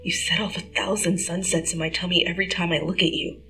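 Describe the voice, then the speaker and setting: A woman voicing a teasing young male superhero who whines playfully and turns soft and tender. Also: a little high, lively intonation, normal speed, soft background music, voice pushed up, rough recording. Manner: whiny and playful, soft and tender when sweet.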